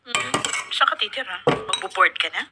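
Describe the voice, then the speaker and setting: A woman's voice: mid-range voice, moderate pace, heavy background noise, close to an everyday voice. A woman with a Filipino accent playing a blunt, possessive married adoptive mother in a radio drama. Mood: probing, hinting.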